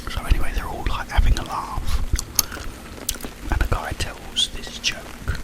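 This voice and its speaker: Slow, whispering male ASMR host. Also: poor audio. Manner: hushed, halting, rambling delivery with long pauses. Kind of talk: whispered ASMR ramble, retelling a film.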